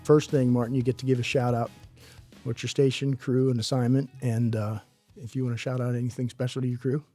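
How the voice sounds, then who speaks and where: A man's voice: medium pitch, flat delivery, brisk, dark tone, soft background music. A middle-aged man hosting a podcast interview as a fire chief, asking questions in a conversational, upbeat way.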